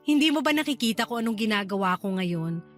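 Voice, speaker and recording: A woman playing an affectionate, easygoing young aunt in a Tagalog radio drama, talking in a casual, expressive way. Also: medium pitch, normal speed, soft background music, natural voice. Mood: light, casual. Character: affectionate hardworking young aunt, easygoing, busy online seller.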